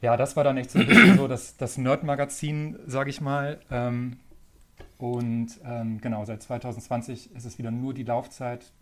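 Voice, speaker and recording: Man, 30s, from northern Germany, podcast guest, loose and rambling, mid-pitched. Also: normal speed. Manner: relaxed, thinks aloud with many fillers. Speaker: magazine editor-in-chief, guest. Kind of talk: casual podcast interview.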